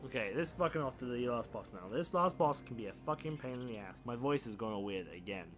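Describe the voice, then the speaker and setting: Man, young adult, croaky throat, casual game commentary, self-deprecating, game music underneath. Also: mid-range voice, expressive, fast. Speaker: gameplay commentator. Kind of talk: casual let's play commentary. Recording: game music playing behind.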